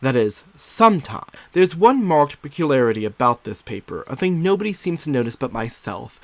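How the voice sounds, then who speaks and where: A man's voice: mid-range voice, dry recording. A man voicing an anxious, secretive young wife in dramatic, theatrical first-person literary narration.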